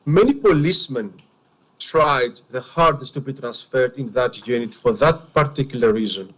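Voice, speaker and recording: A man's voice: medium pitch, moderate pace, fairly bright tone, low-quality recording. A man with a heavy Greek accent, a native Greek speaker, giving earnest, emphatic testimony as a witness at an enquiry. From Greece.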